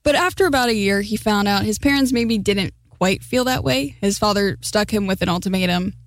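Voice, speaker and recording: A woman reporter voices a public radio feature in a smooth, measured read. Her pitch sits at the middle and the studio sound is dry and close. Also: no room sound. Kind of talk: scripted news feature narration.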